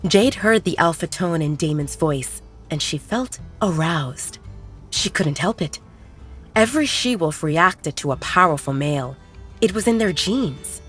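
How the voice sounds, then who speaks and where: A woman's voice: sits low, animated, measured pace, a bit bright. A woman narrating a scripted romance audiobook in a dramatic storytelling style. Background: faint background music.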